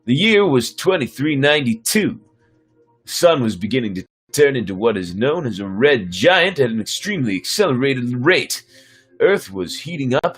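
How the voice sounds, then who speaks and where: A man with a husky voice reading a story aloud as dramatic narration. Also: mid-range voice, a bit bright. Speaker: storyteller reading his own sci-fi story on a stream.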